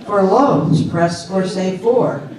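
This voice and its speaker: A man playing a polite, unyielding automated phone system in a comic readers theater, reciting prompts in a formal, measured way. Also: high-pitched, animated, crowd noise. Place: live readers theater stage with audience. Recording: echoey hall, distant mic, audience noise.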